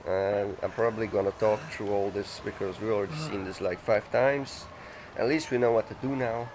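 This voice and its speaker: Rambling low-voiced man gaming. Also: normal speed, background noise. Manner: chatty, rambling, self-correcting. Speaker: let's play gamer. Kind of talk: casual gaming commentary. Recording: music playing under the voice.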